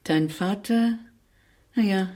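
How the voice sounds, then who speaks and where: An actress playing an older aunt in a radio drama, chatting fondly and nostalgically with a husky, breathy voice. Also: female voice, medium pitch, slow pace, warm tone. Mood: fond, wistful. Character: older aunt fondly reminiscing over family photographs.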